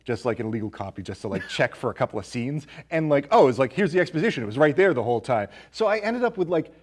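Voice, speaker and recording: A man's voice: mid-range voice, sing-song, fast. A man hosting a podcast, chatting casually about movies with jokey, teasing banter. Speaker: podcast host.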